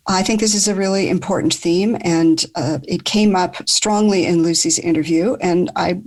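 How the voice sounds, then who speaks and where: Older woman hosting, slightly low voice. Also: fairly even intonation, moderate pace, darkish tone. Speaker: podcast host. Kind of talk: moderating a group discussion.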